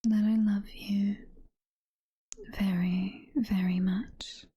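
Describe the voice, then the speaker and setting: A British woman voicing a sweet, motherly, possessive demon girlfriend in a slow, cooing, breathy whisper for intimate ASMR roleplay. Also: mid-range voice, flat delivery, warm tone, no room sound, exaggerated voice. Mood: adoring, soothing. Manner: slow, cooing, full of pet names.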